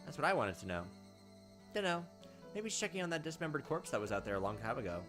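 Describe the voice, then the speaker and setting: Game host, mid-pitched voice, narrates story text with casual asides over faint music. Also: very animated, quick pace. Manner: reads aloud and drops in offhand asides. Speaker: let's play host reading a visual novel aloud.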